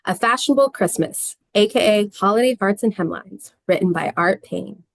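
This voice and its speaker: A woman's voice: medium pitch, normal speed, creaky, no echo. Woman narrating a screenplay, dry sound. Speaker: actor reading as narrator. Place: online video call.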